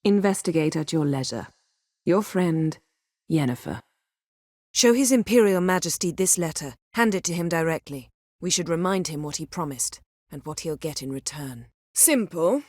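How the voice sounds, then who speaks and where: A haughty sorceress from a fantasy game, speaks in a lowish voice. Her diction is formal and her manner imperious, with a dry, cutting wit. The sound is close and free of room echo. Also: darkish tone. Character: haughty, sharp-tongued, commanding sorceress in a fantasy world. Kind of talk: fantasy video game voice acting.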